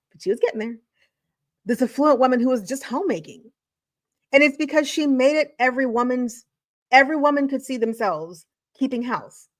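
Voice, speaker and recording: A woman's voice: high voice, no room sound. A woman educator in her forties or fifties giving emphatic, motivational video commentary, repeating phrases for effect. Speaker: educator and channel host.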